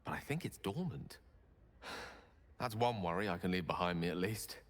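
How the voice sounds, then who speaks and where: An actor playing a tender, brilliant wizard in a video game, speaking in an eloquent, breathy, intimate and hesitant way. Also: male voice, low-pitched, animated, moderate pace. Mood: quiet relief. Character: brilliant, tender, wordy male wizard in love.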